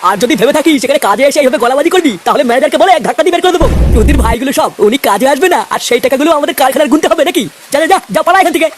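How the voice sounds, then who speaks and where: A man voicing a stern, contemptuous factory overseer in a comic cartoon, threatening and sneering in a pitched-up voice. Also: expressive, racing, few pauses, slight background noise.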